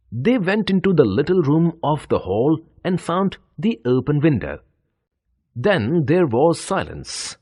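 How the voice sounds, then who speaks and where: A man narrates a children's story in a fairly high, slightly rough voice. His delivery is deliberate and expressive, with very animated intonation. The recording is dry and close. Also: no echo.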